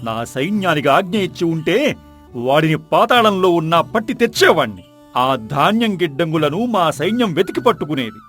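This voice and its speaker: A man's voice: a little high, big pitch swings, normal speed, fairly bright tone, faint music behind. A man playing a fierce, impulsive army commander in a folk-tale drama, harsh and indignant.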